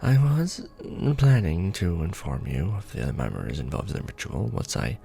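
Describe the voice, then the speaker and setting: A man playing a courtly, conflicted vampire lord in a romantic ASMR fantasy roleplay, speaking formally and with measured care. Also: wildly swooping pitch, dark tone, slight background noise, heavily acted. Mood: tender, awkward. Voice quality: low, creaky. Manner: formal, measured, hesitant when tender. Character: courtly, conflicted vampire lord, tender toward his beloved.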